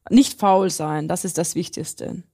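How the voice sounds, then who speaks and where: A woman's voice: lowish voice, measured pace, creaky, dark tone, breathy edge. Earnest woman. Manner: hesitant, many fillers, earnest. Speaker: interviewee, interpreter. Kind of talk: casual interview answers.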